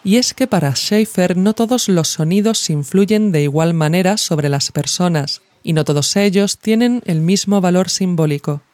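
A narrator reads a scripted audio essay in a measured, reflective way, phrasing each thought deliberately. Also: medium pitch, a little mellow, dry recording. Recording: music behind the voice. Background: music.

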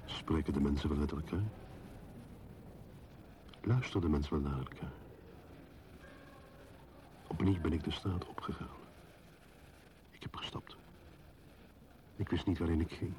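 An actor playing a brooding, lonely man in a poetic radio play monologue, solemn and halting, with breathy phrase endings. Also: extremely low pitch, a little mellow, a little hiss or hum. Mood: melancholy, lonely. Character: brooding lonely man wandering rainy city streets.

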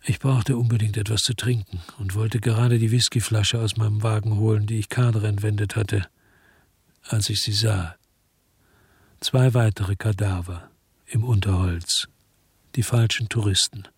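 A man's voice: fairly low pitch, fairly even intonation, normal speed, gravelly, slightly rough, no echo, full character voice. A weary, hard-boiled man tells his story. The delivery is terse and laconic, recorded close and dry like noir radio drama. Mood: grim, reflective. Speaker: first-person narrator and lead actor. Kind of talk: German radio drama narration and dialogue.

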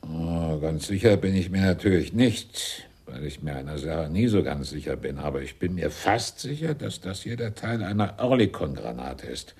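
A grumbly fire chief for an audio play, husky, blunt, explains stuff. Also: male voice, low-pitched, expressive, normal speed, hardly any pauses, a little mellow, full character voice. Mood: musing. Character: grumbling fire captain, man, blunt and matter-of-fact. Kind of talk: German radio play acting.

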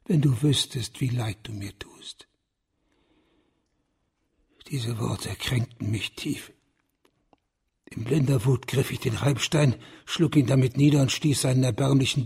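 A very husky, bitter confessor. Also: male voice, mid-range voice, darkish tone, exaggerated voice. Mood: wounded fury. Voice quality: husky, gravelly. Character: embittered man confessing to a violent killing. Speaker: accused murderer character. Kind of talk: radio drama acting.

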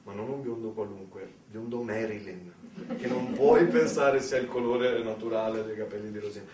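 A man delivering a conversational theatrical monologue in speech, with dramatic pauses and asides to the listener. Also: on the low side, a crowd in the background. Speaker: solo stage storyteller. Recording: roomy, distant mic, faint noise.

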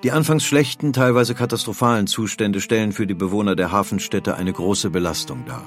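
A man's voice: on the low side, fairly quick, faint music behind. A man reading scripted historical documentary material as a narrator.

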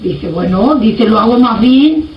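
Woman, casual storytelling, earthy and animated, distant muffled mic. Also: fairly high pitch, unhurried. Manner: earthy, animated retelling with repeated reported speech. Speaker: storyteller of a folk anecdote. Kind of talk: casual oral anecdote, colloquial. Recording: muffled, roomy, distant mic, faint noise.

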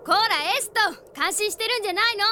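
High-voiced, earnest young knight girl. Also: fast, pushed and bright, slight background noise. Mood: scolding. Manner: girlish, earnest. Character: worried, dutiful young female pegasus knight. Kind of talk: Japanese radio drama acting.

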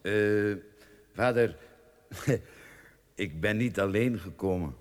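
A man's voice: fairly low pitch, slow pace. A Flemish man acting in a radio drama as a confident, breezy young nobleman speaking politely. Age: young adult. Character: confident young nobleman returning home to his father. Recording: long hall reverb.

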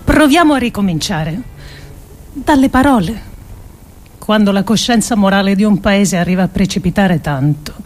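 A woman performing a scripted literary monologue as a radio actress, solemn and deliberate, with emphatic phrasing and pauses. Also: medium pitch, expressive, slight background noise.

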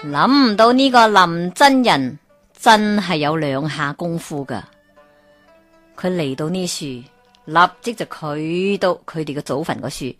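Woman telling a folk tale, unhurried. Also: on the low side, no echo. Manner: animated, with exclamations and character voices. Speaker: storyteller. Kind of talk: Cantonese folk tale storytelling.

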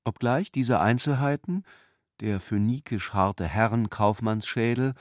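A man's voice: on the low side, unhurried, dry recording, clean studio sound. A man reading literary narration as the narrator of a radio play.

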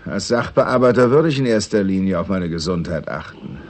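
A man's voice: low-pitched, expressive, a little fast. An actor in a 1960s radio play, playing a condescending, commanding male state secretary who runs the security service. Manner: patronising, commanding. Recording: vintage radio recording, hiss and clicks.

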